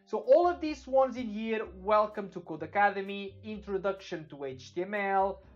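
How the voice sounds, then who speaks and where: A man's voice: high-pitched, expressive, normal speed. A young man from Portugal with a Portuguese accent, giving a casual screen-share web development tutorial, loose and full of fillers, often checking in with "okay?". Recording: dull lo-fi with faint music behind.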